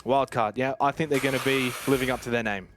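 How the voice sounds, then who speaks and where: A man's voice: mid-range voice, fairly even intonation, quick pace, noisy background. A man giving live commentary and analysis on an esports match.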